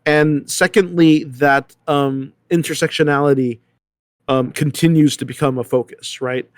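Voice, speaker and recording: Halting man in a dry recording. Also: fairly high pitch, fairly even intonation, no echo. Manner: halting, repeats words, seeks agreement with "right?". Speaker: panelist. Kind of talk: panel discussion, thinking aloud.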